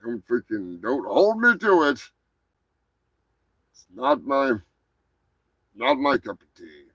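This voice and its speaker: A man's voice: mid-range voice, huge pitch swings, slow, bright, forceful tone, no room sound. A man hosting a live show, talking over the news in a loose, sarcastic, rambling way with lots of swearing and laughter. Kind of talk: casual profane news commentary.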